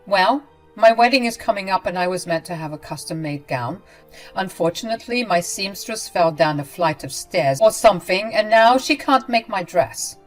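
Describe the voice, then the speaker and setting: A woman's voice: medium pitch, lively intonation, moderate pace, soft background music, lightly acted. A woman voicing an entitled, self-absorbed young bride who talks in a whiny, dismissive way.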